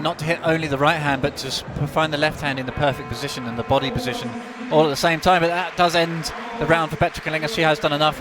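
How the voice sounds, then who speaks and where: A man co-commentating live on a climbing competition, enthusiastic and excitable, often repeating words as he reacts to the action. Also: on the high side. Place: big climbing competition hall with a large crowd. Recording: loud crowd and background noise in an arena.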